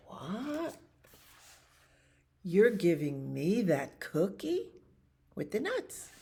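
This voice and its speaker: A woman's voice: medium pitch, creaky, a little mellow, slightly rough, pitched above the performer's own voice, full character voice. A woman voicing an indignant, whining pigeon in a children's storybook, complaining theatrically. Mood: astonished. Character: indignant, whining, dramatic pigeon who loves cookies. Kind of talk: children's storybook read-aloud.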